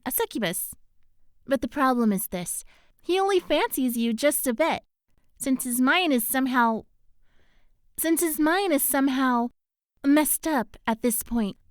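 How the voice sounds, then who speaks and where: A woman's voice: high voice, no echo, studio quality. A woman voicing a seductive, scheming female succubus in a fan audio drama, talking in a coaxing way. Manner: coaxing, sultry.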